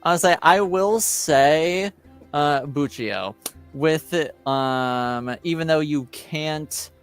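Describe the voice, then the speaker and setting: A man's voice: on the high side, extremely slow, clear, resonant tone, faint music behind. A man acting as game master, casually talking players through rulings and what their characters know.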